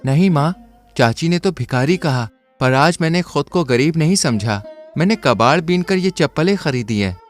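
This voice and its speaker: A poor village boy with quiet pride and stubborn courage, told in a cartoon storybook, as a man puts him on. His voice is a little raised, and he speaks earnestly and with determination. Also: on the high side, expressive, normal speed, faint music behind, close to an everyday voice. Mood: determined. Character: poor, proud boy, brave and hardworking.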